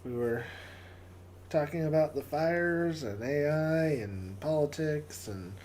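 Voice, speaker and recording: A man with a raspy, hoarse voice from days of coughing, talking in a low, mellow tone. He speaks slowly and haltingly, stumbling over and repeating his words as he hosts a casual live stream. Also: a little hiss or hum. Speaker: livestream host. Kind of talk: casual live-stream chat with a closing prayer.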